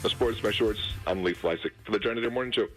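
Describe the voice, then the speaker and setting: A middle-aged man chatting casually on the radio, halting and repeating words as he goes. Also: fairly low pitch, fairly bright tone, soft background music, poor audio. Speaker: radio sports contributor.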